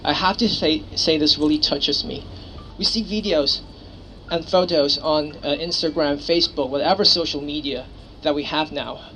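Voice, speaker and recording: Young Hong Kong man, higher-pitched, speaking publicly. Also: normal speed, pushed and bright. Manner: apologetic, unpolished, heartfelt. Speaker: exchange student speaker at a rally. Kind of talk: impromptu personal public speech. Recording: noisy ambient recording with vehicle sounds.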